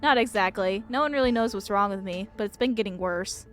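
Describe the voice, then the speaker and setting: A woman's voice: medium pitch, fairly quick, close to an everyday voice. Woman voicing a gloomy, sickly young painter guy. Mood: morbid, calm.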